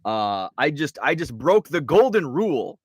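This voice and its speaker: Middle-aged man, tired and congested host, rambling chatty delivery, lively pitch swings, dry close sound. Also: a little slow, husky, dry recording. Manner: rambling and chatty, with many restarts and asides. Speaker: host of a card-collecting show. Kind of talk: casual live-show chat. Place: card room.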